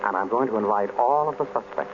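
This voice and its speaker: An actor playing a suave, wry detective in an old-time radio drama, breezy, urbane and in command. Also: male voice, on the low side, fast, lightly acted. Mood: breezy, scheming. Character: suave, wry male detective hosting a dinner of suspects. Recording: noisy, tinny vintage radio broadcast.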